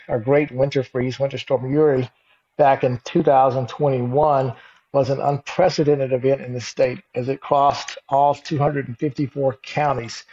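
A man's voice: medium pitch, mellow, highs cut off. A man in his fifties from Texas, with a Texan accent, giving a conversational conference talk with frequent fillers. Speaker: guest speaker, insurance executive.

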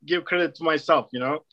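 A man's voice: a little high, sharp tone, lo-fi sound. A man in his thirties from Turkey with a Turkish accent, a hairstylist guest chatting confidently, with frequent restarts. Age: 30s. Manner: confident, self-assured, halting with repeated restarts. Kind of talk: casual interview chat.

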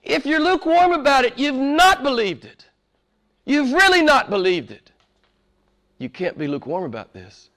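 A man preaching a sermon with fervor, hoarse from a cold and losing his voice, dropping to a hush and rising to shouts. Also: very high voice, sing-song, normal speed. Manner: fervent, swings from hushed to shouting. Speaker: pastor.

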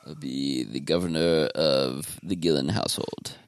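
A man's voice: very deep voice, measured pace, dry recording. A man hosting a podcast in casual chat, talking in a laid-back, meandering way. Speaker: podcast host.

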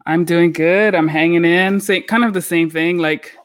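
A man hosting a podcast in casual chat, upbeat and animated, with lots of fillers. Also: on the high side, moderate pace, a little hiss or hum. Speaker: podcast host.

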